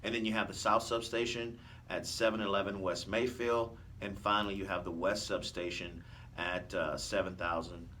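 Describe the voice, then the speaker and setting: An American man speaking as a police officer guest in a radio interview, explaining things in a measured, conversational way. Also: deep voice, fairly even intonation, sharp tone, far from the mic. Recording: faint noise, off-mic room sound.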